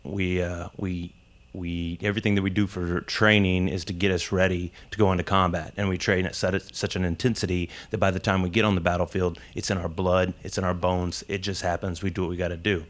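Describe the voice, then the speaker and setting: A man's voice: low voice, flat delivery, fairly quick. A man speaking from an audience, earnest and plainspoken as he reflects aloud. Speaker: audience member.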